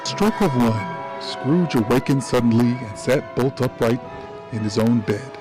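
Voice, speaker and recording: A man narrating a scripted radio drama in a measured storytelling manner. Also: mid-range voice, music in the background.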